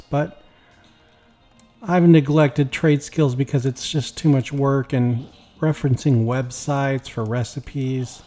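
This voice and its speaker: A man with a slightly raspy, weak voice, tired after work, chatting casually while playing a game. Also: medium pitch. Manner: rambling, relaxed, talks while playing. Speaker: gaming YouTuber. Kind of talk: casual gameplay commentary.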